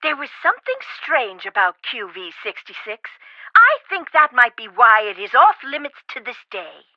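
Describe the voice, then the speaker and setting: A prim, theatrical lady scholar in a game, high-pitched and swooping up and down as she spins elaborate metaphors. The sound is dry and a little dull, like ripped game audio. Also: big pitch swings, moderate pace, dry recording. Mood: animated. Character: eccentric chatty woman scholar of Egypt, fussy and flowery. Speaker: character in an adventure game. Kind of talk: studio game voice acting.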